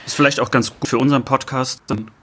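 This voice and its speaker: Husky-voiced male podcast co-host. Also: mid-range voice, fast talker. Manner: relaxed, laughs often, hesitant fillers. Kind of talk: casual podcast chat.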